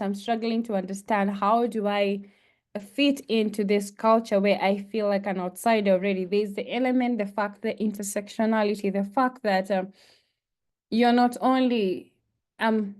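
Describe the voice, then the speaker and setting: A woman's voice: mid-range voice, darkish tone. Kenyan woman, young adult, earnest panel speaker, hesitant delivery. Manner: earnest, halting with fillers. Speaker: panel speaker, international student. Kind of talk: presentation and discussion.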